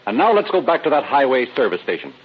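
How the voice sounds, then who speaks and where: A man's voice: medium pitch, big pitch swings, fast, husky. A man narrating in a dramatic, scripted 1930s radio announcer style. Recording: dull old radio broadcast. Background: music.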